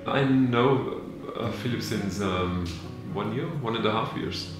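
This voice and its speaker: A man's voice: fairly low pitch, a little slow. A man who manages a winery, answering interview questions with many fillers and restarts. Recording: very echoey with background music. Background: music.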